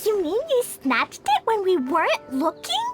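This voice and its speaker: A woman's voice: very high-pitched, wildly swooping pitch, measured pace, loud music behind the voice, heavily acted. A woman voicing a sweet, eager little girl mage in a video game, with a childlike, exuberant way of talking. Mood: playful, sweet.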